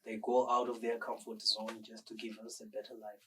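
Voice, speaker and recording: A man's voice: fairly low pitch, flat delivery, fairly quick, fairly bright tone, recorded from a distance, slight background noise, poor audio. A man hosting an interview, asking questions in an encouraging way and backing the guest up with frequent "mm-hmm"s. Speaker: interviewer host. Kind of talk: interview conversation.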